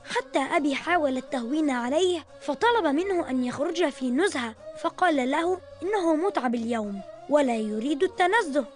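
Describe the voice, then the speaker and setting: A dependable, caring young boy in a children's cartoon. The voice is extremely high, the delivery earnest and considerate, with faint music underneath. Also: expressive, a little fast. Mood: concerned. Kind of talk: cartoon dialogue in formal Arabic.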